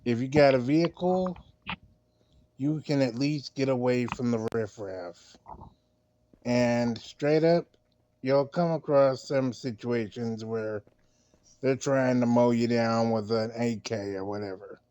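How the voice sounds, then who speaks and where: Slow-talking man on dull, dry audio. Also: medium pitch, warm tone, dry recording. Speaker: meeting participant giving advice. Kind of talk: casual advice.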